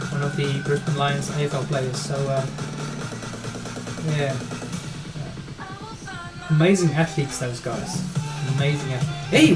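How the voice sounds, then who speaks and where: A man's voice: on the high side, rough recording. South African man chatting over music. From South Africa and Australia. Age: middle-aged. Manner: informal, matey, thinking aloud. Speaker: chess streamer and player. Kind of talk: casual live game commentary and chat.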